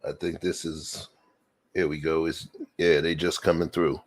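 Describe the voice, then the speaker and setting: A man's voice: very deep voice, level tone, a little slow. An American man hosting an unscripted show with slangy, playful, teasing banter. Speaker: host of an online reaction show.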